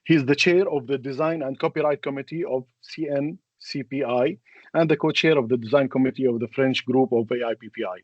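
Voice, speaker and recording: A man, a native Arabic speaker, moderating a webinar and introducing speakers in a halting, hesitant way. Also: mid-range voice, a little mellow, dry recording, highs cut off.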